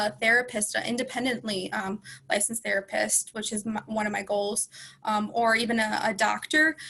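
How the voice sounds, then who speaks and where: Young woman guest, bright forceful voice, chatty, lots of ums. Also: on the high side, gravelly. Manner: chatty, many ums and self-corrections. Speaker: guest speaker, former student. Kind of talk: casual panel conversation.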